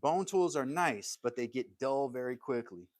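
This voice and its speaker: A man's voice: mid-range voice, lively intonation, normal speed, no echo, clean studio sound. Easygoing man chatting on a livestream. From United States. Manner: easygoing, rambling, reads out viewer comments. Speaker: livestream host, woodworker. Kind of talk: casual livestream chat. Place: his workshop.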